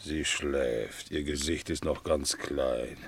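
An actor playing a devoted, naive and soft-hearted bulldog in a 1960s German radio play, speaking earnestly and simply. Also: male voice, rumbling low, steady tone, slow, a little hiss or hum. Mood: puzzled.

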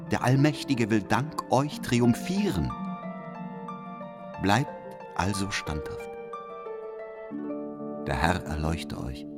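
A very low-pitched, secretive informant of a historical radio drama, speaks in measured, solemn phrases. He urges with pious insistence, with music behind the voice. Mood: urgent, exhorting. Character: secretive informant reading out his urging, pious letter. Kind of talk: audio drama letter monologue.

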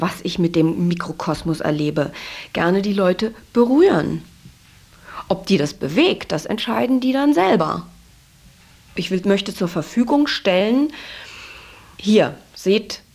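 A woman's voice: mid-range voice, gravelly, darkish tone. A woman talking candidly and reflectively about herself in an interview, feeling her way through her thoughts. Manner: candid, searching, self-examining. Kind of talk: reflective personal interview talk.

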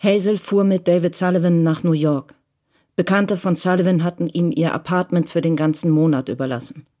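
A woman reading scripted literary narration for a radio crime drama in a measured, matter-of-fact way. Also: on the low side, fairly even intonation, mellow.

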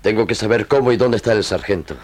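An earnest male lieutenant, played by an actor in an Argentine radio drama. His fairly low voice has an Argentine accent and a formal, deliberate sincerity. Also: darkish tone, lightly acted. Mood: earnest, respectful. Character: earnest, honourable army lieutenant.

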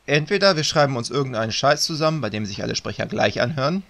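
A man's voice: mid-range voice, lively intonation, fast, creaky, fairly bright tone. A man voicing a flustered, stammering male author figure in a fan audio drama. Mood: explaining.

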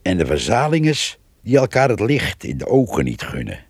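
An actor playing a gossipy, wry village storyteller, narrating a radio play in short conversational sentences with a husky, gravelly voice. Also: male voice, fairly low pitch, wildly swooping pitch, moderate pace, no echo, studio quality, exaggerated voice.

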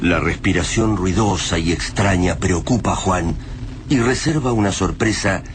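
Low-voiced male narrator over loud noise. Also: moderate pace. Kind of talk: dramatic scripted radio drama narration. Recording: very noisy sound effects bed.

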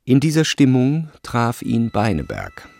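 A man narrating a literary radio drama in scripted, measured and expressive storytelling. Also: mid-range voice, creaky, no echo.

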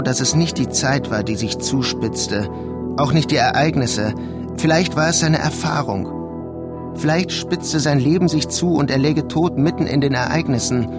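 An actor playing a brooding, detached narrator in a literary radio drama, speaking in a measured, sombre way. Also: male voice, mid-range voice, natural voice. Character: brooding, detached man narrating a war-torn city. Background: music behind the voice.

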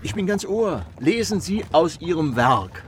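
Casting: a curious, genteel traveller for radio drama, animated and theatrical, somewhat high voice. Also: male voice, a little slow. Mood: eager, jovial. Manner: theatrical, jovial, chuckling. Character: genteel, curious, chatty gentleman traveller, master of coach. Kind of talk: period radio play dialogue. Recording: noisy moving-coach sound effects.

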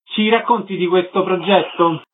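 High-pitched male radio interviewer, slightly dull sound. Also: moderate pace. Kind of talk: prepared radio interview questions and announcements.

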